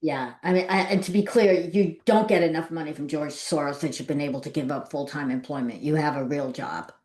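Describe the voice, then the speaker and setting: Very low-voiced American woman hosting. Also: a little fast, a little mellow, reverberant. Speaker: podcast host. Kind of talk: conversational interviewing.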